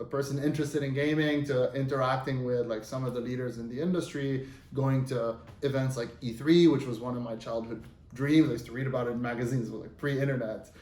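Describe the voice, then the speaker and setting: A man in his thirties from Lebanon, a native Arabic speaker, talks through his career as an interview guest. His voice sits at an ordinary middle pitch for a man. He speaks loosely and conversationally, with frequent fillers and restarts, and the room adds a noticeable echo. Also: darkish tone. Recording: roomy echo.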